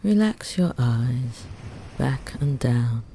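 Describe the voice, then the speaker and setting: A meditation guide speaks very slowly in a mellow, relaxed voice, leaving long pauses between short phrases. The delivery is gentle and soothing, leading a restful body relaxation, with ocean waves washing softly behind the voice. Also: mid-range voice. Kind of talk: guided Yoga Nidra meditation narration. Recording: steady ambient noise under the voice.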